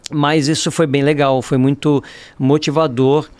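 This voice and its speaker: Brazilian man, slightly high voice, dry sound. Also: little pitch movement, unhurried, smooth, no echo. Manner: informal, frequent tag questions. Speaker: podcast guest, writer. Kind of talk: casual conversational storytelling.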